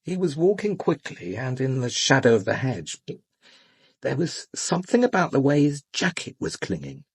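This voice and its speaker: A man's voice: medium pitch, very animated, moderate pace, husky. An actor playing an earnest, puzzled doorman in a radio drama, respectful and a little hesitant.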